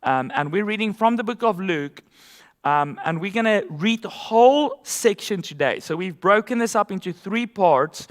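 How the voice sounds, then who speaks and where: Pastor with a high voice. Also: male voice, lively intonation, moderate pace, in a large hall. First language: Afrikaans. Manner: conversational storytelling with asides. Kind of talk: sermon.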